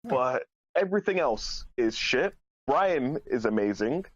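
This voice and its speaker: Male podcast panelist, deep-ish and blunt, casual and opinionated, slightly dull, dry audio. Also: sing-song, a little slow, dry recording.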